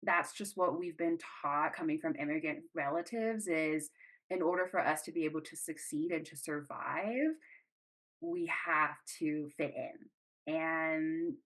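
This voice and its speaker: A woman speaks as a podcast host in a somewhat low voice. Her delivery is conversational and reflective, as in a personal one-on-one discussion. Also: measured pace, a bit bright, low-quality recording.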